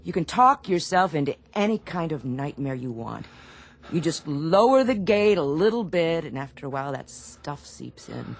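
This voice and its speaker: An actress playing a steady, firm, reassuring ship's medic in a radio drama. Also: female voice, sits low, normal speed, full character voice. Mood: calm, insistent.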